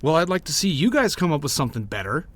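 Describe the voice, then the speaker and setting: A man acts a cocky young guy, the joker of his friends in an audio roleplay. His pitch jumps around in a lively way. He is theatrical and plays up being offended. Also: fast. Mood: playful, challenging. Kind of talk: interactive audio roleplay acting.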